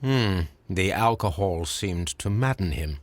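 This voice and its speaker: An actor playing a composed, analytical Victorian English gentleman detective in a video game, musing precisely and deliberately. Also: sits low, expressive. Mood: inquisitive.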